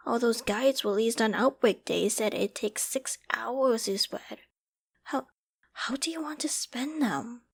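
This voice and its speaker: A woman acts out a clingy young female survivor of a zombie apocalypse in an ASMR audio drama. Her voice is on the high side, emotional and halting, prone to stammers and hushed dips, with an obsessive devotion underneath. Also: gravelly, breathy edge, heavily acted. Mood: desperate, distraught. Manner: emotional, halting and stammering, often dropping to whispers and sighs.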